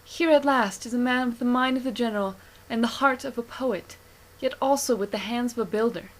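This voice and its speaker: A woman's voice: fairly high pitch, brisk, lightly acted. A woman playing a fervent fantasy herald, chanting prayer and praise in a reverent, declamatory way. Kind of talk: incantatory proclamation.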